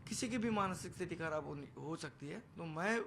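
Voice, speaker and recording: Male party spokesman, higher-set voice. Assertive news-interview answers, light ambient noise. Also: animated, fairly quick, creaky. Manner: assertive, defiant, emphatic repetition. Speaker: political party leader and spokesman. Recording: noisy location sound, background ambience.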